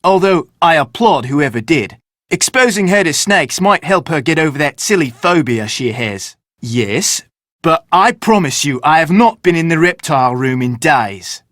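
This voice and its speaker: A man's voice: on the high side, lively intonation, moderate pace, a bit bright, dry recording, studio quality. A man voicing a sarcastic, dramatic, curse-obsessed film crew member in a video game, with theatrical delivery. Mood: sarcastic, defensive.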